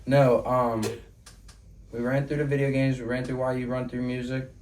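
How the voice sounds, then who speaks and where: A man's voice: medium pitch, monotone, moderate pace, darkish tone. Guy hosting a podcast, casual n sweary, kinda emphatic, bit far from the mic w some noise. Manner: slangy, profane, emphatic. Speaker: podcast host. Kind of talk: casual chat about music. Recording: noisy room, distant mic.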